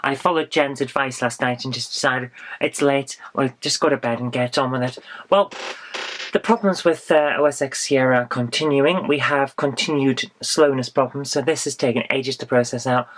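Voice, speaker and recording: A woman's voice: very deep voice, moderate pace, slight background noise, poor audio. Stuffy-nosed woman rambling thru a vlog at home, lots of uhs and restarts. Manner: rambling, chatty, with frequent ums and restarts. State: congested, bunged-up voice from illness. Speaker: vlogger. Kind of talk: casual vlog chat. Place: small warm home office, indoors.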